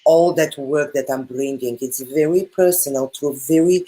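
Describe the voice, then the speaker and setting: A woman's voice: extremely low pitch, normal speed, dark tone, husky. A woman from Bulgaria with a Bulgarian accent, speaking earnestly over a video call as a guest, with repeated phrases and fillers. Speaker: guest, spiritual teacher. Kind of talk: casual video call chat.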